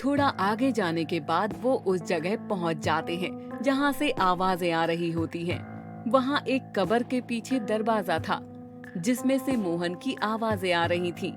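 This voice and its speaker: A woman's voice: mid-range voice, expressive, unhurried, over music. A woman narrator reading a scripted horror story for a cartoon.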